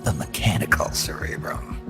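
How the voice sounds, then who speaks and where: An actor playing a gruff, hardened mercenary soldier in a video game, terse and dry, with a rough gravelly voice. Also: male voice, normal speed. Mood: terse, probing. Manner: terse, low-key, dry. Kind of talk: video game cutscene acting. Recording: loud music under the voice.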